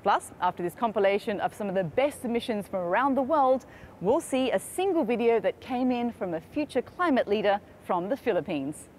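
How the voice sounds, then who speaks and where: A woman hosts a live broadcast event, delivering scripted links in a measured, earnest manner. Her voice sits a little high for a woman. A faint background noise runs under her speech. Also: animated, a little mellow. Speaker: livestream event host.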